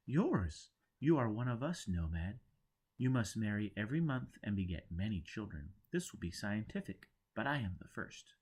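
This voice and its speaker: A man's voice: on the low side, sing-song, normal speed, a little mellow, slightly rough. A man voicing a proud, devoted young bride from a science cult, making solemn declarations.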